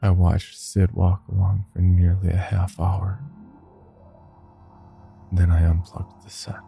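Male narrator for first-person horror fiction: very low register, unhurried, somber, faint music underneath. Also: level tone, vocal fry, dark tone. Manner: slow, deliberate, somber delivery.

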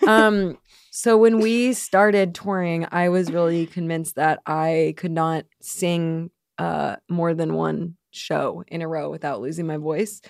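A woman's voice: fairly low pitch, steady tone, takes it slowly, gravelly, no echo, studio quality. A woman co-hosting a podcast in casual chat, rambling and self-deprecating, laughing often. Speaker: podcast co-host and band member.

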